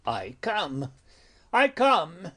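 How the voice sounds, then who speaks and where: A man playing a stern, exasperated friar in a Shakespearean tragedy, speaking deliberately with a breathy, dramatic delivery. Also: on the high side, huge pitch swings, noisy background, heavily acted. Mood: urgent alarm. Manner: slow, deliberate, dramatic, dropping into hushed breaths. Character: stern, exasperated male friar counselling a youth. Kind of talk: classical stage tragedy in audio.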